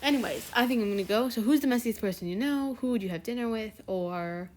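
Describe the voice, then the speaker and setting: A woman's voice: a little high, expressive, fast, a little mellow, slight background noise. Chatty rambling teen girl. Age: teens. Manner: rambling, chatty, many fillers and self-corrections. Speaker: fanfiction channel host. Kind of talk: casual chat to viewers.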